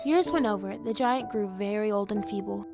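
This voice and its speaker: A woman as a gentle storybook reader. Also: medium pitch, normal speed, gravelly, close to an everyday voice. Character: young woman reading a fairy tale aloud. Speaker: storyteller within the drama. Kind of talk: audio drama. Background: music.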